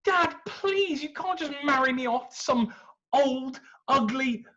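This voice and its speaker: A man's voice: extremely high pitch, lively intonation, a little slow, bright, forceful tone, voice pushed up, full character voice. A man voicing a whiny, dramatic young princess who speaks in a melodramatic, complaining way, on a dull lo-fi recording. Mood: protesting. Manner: whining, melodramatic.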